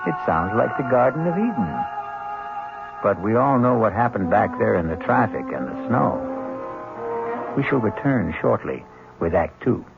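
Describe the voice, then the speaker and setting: A man narrating as host of a 1970s radio mystery series, dramatic, wry and deliberate. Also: on the low side, big pitch swings, vocal fry, over loud music. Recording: muffled old radio broadcast.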